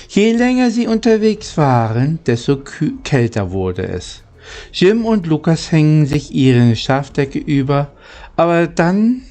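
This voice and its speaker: Slow, lively man reading a story. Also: fairly high pitch. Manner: halting, deliberate reading with stumbles. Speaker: storyteller reading a children's novel chapter by chapter. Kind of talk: amateur audiobook reading with chatty greeting.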